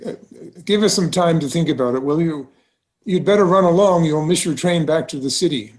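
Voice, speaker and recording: A genial banker uncle, acted by a man with a fairly high voice. He speaks carefully, as a cautious trustee would, in the scripted dialogue of a musical table read. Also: a little fast, a little mellow, lightly acted. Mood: measured, avuncular. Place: recorded online.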